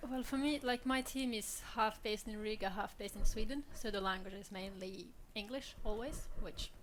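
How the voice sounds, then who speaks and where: A woman's voice: medium pitch, moderate pace, slight background noise. A young woman from Switzerland speaking candidly on a panel, laughing often between points. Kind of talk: panel discussion.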